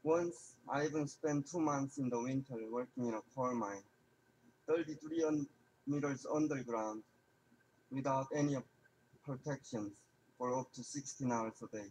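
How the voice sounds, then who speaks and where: Young adult male. Measured, earnest prepared speech. Faint background noise. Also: mid-range voice, a little mellow, rough recording. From North Korea. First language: Korean.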